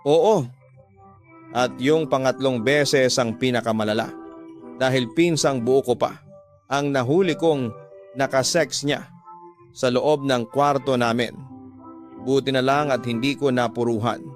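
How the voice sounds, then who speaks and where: A man's voice: mid-range voice, fairly even intonation, measured pace, long pauses, close to an everyday voice. A man playing a betrayed husband in a radio drama, hurt and earnest as he emphatically pleads his side. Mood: hurt, bitter. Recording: music bed behind the voice.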